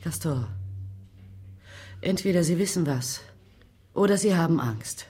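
An actress playing a cool, persistent investigator in a radio crime drama, speaking in a dry, insinuating way. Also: female voice, fairly low pitch, lively intonation, measured pace, dark tone, breathy edge. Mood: coolly provocative. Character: cool, persistent female investigator, dryly provocative.

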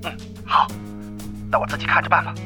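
A man's voice: fairly quick, music in the background, natural voice. An actor playing a deferential, hesitant male guild underling in an audio drama, speaking tentatively. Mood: eager, compliant.

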